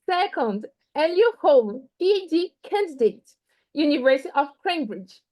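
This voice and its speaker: A woman with a Portuguese accent hosts an online panel over a video call. Her voice sits high and her phrasing is lively and non-native. She speaks Portuguese as her first language. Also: measured pace. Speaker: event host.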